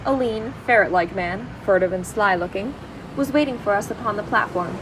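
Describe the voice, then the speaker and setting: A woman playing an earnest male companion who narrates an amateur audio drama in a measured, literary way. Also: medium pitch, noisy background, natural voice. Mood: storytelling.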